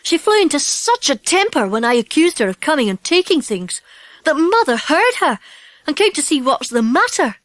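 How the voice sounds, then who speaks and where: Earnest British storybook schoolchild: high, very animated, exclamatory old-fashioned gang talk. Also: female voice, normal speed, dry recording. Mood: miserable. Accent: British English.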